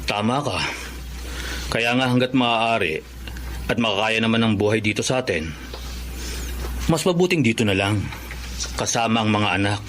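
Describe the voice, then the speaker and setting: A man's voice: on the low side, moderate pace, pauses often, a bit bright, a little hiss or hum, close to an everyday voice. A man with a Filipino accent playing an agreeable, family-minded man in a radio drama. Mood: sober, earnest.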